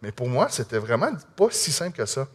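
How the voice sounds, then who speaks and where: A man with a Québécois French accent, telling an audience his personal testimony in an earnest, halting, conversational way. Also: mid-range voice, big pitch swings. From Quebec. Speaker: speaker sharing a personal testimony.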